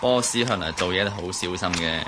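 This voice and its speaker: A man's voice: sits low, a little fast, lightly acted. A man acting a killer's henchman in a Cantonese radio drama. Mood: matter-of-fact. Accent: Cantonese, Hong Kong. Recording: faint background noise.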